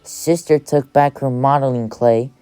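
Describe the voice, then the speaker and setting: Low-pitched woman reading a children's storybook. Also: steady tone, a little slow, dark tone, clear, resonant tone, soft background music. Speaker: audiobook reader.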